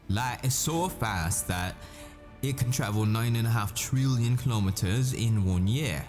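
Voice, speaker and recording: A man playing a patient, absorbed math professor who lectures with rhetorical questions and deliberate pauses in a scripted roleplay. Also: lowish voice.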